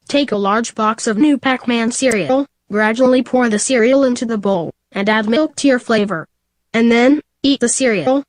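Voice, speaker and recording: A woman's voice: a little high, no echo. A woman presenting a scripted commercial in old-time radio style. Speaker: advertisement presenter.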